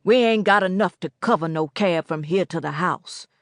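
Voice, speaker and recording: A folksy older southern man with a loud, drawling rural way of talking, as a woman reader puts him on. He grumbles and praises the Lord in the same breath, and the sound is dry and close, with no room around it. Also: lowish voice, normal speed, no echo, lightly acted. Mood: grumbling folksy. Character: old southern man, folksy, complaining, churchgoing.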